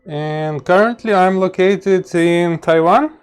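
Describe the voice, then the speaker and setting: A man's voice: sits high, clear, resonant tone. A man from Ukraine with a Ukrainian accent, musing half to himself as he works on electronics in his home workshop. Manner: slow, musing, mutters to himself while searching. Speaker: electronics hobbyist host. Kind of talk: casual workbench commentary.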